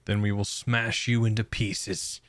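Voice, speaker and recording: A man's voice: lowish voice, level tone, measured pace, fairly bright tone. A man giving casual, playful reaction commentary over gameplay, full of slangy bro-talk. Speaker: gaming video host.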